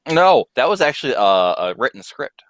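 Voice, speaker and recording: Lively young man, swooping intonation. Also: mid-range voice, normal speed, no echo. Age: young adult. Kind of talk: casual chat among friends.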